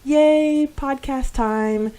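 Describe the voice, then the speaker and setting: A woman's voice: high voice, very slow, warm tone. A woman co-hosting a podcast in a casual, giggly, slangy way, chatting and reading out fan comments. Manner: giggly, girlish slang with frequent "like". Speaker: podcast co-host.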